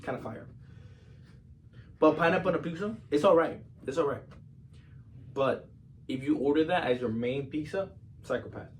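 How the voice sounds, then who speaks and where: A man's voice: fairly high pitch, expressive, moderate pace, pauses often, a little hiss or hum. A tired man co-hosting a casual podcast, laid-back and joking. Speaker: podcast co-host. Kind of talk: casual chat. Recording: distant mic.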